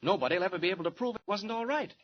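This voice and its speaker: An actor playing a confident young hero reporter, talking in a brisk, commanding, wry way in 1940s radio drama style. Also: male voice, high voice, expressive, breathy edge. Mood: earnest. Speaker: lead. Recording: dull old radio recording.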